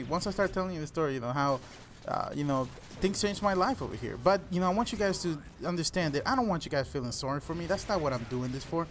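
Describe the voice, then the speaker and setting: Young man, Salvadoran Spanish accent, slightly high pitch, earnest casual storytelling, gaming channel host, faint background noise. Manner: earnest, addresses listeners as "guys". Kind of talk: casual personal storytelling over gameplay commentary.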